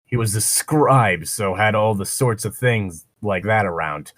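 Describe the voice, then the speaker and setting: Man putting on a fond, accented Greek storyteller, low-voiced. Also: a little slow, fairly bright tone, no echo, clean studio sound. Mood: fond reminiscing. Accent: attempted Greek, slipping toward posh English. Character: young Greek man fondly reminiscing about his childhood.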